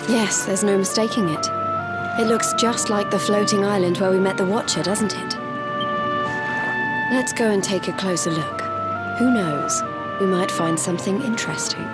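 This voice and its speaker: A woman's voice: medium pitch, moderate pace, lightly acted. A woman playing a poised, confident young princess in a video game, a dutiful daughter with a lightly teasing manner. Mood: curious. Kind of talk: video game cutscene acting. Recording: loud game music over the voice.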